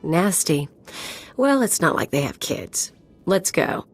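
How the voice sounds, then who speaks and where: Deep-voiced, flippant mercenary sidekick. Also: female voice, sing-song, slow pace, soft background music, full character voice. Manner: blunt, flippant. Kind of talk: video game dialogue acting.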